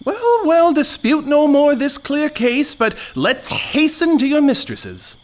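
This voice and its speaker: A woman's voice: sits high, very animated, slow pace, full character voice. A woman playing a scheming, cheeky male servant in an audio farce, with a bustling, bossy, mock-grand delivery. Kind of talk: theatrical period farce in an audio play.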